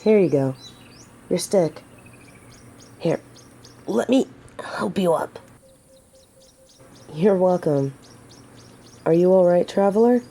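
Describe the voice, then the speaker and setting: A woman's voice: fairly low pitch, expressive, takes it slowly, pauses often, dark tone, full character voice. A woman playing a noble, humble lady knight in an audio drama, courteous and protective, with formal, old-fashioned courtly phrasing. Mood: kind, reassuring. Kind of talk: scripted roleplay audio drama to the listener.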